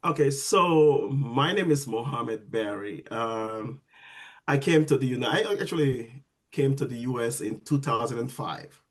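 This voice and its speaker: A man, a nurse guesting on a podcast, telling his story in a lively way with frequent restarts. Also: medium pitch, a little slow. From Sierra Leone. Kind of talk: casual storytelling interview.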